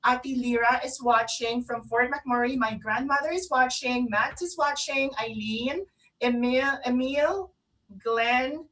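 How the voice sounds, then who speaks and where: A woman's voice: high-pitched, unhurried, a bit bright. A woman co-hosting a casual live talk show in an effusive, exclaiming way. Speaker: online radio show co-host. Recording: voice distant from the mic.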